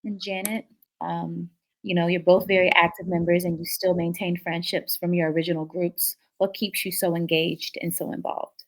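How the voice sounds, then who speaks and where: A woman's voice: on the low side, level tone, moderate pace. A woman podcast host asking her guests prepared interview questions.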